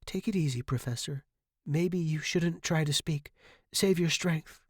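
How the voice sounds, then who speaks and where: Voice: slow, a little mellow, no echo, clean studio sound. A storyteller voicing a devoted young male music student, earnest, emotional and imploring. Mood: anxious concern. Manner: earnest, imploring, high and distraught.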